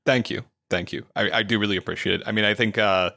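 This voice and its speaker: A man speaking as a podcast guest, earnest and measured, often repeating words as he goes. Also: on the low side, fairly even intonation, vocal fry, bright, forceful tone, dry recording, clean studio sound. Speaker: podcast guest, creative director. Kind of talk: casual podcast interview.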